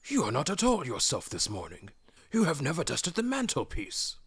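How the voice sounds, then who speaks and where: Guy doing a bossy old wizard for a storybook, breathy n brisk, hurrying everyone along. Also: a little high, wildly swooping pitch, a bit bright, pitched above the performer's own voice, full character voice. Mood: exasperated.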